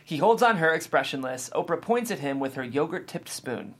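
A narrator reads scene headings and stage directions from a screenplay. The voice sits at a medium pitch and follows the script as scripted narration. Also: animated, a little fast, husky.

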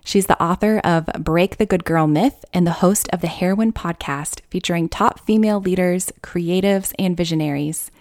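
A woman's voice: on the low side, normal speed, hardly any pauses, no echo, studio quality. A woman hosting a podcast, reading an upbeat introduction and then chatting casually with her guest over a video call. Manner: warm gushing intro read, then chatty and relaxed. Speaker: podcast host.

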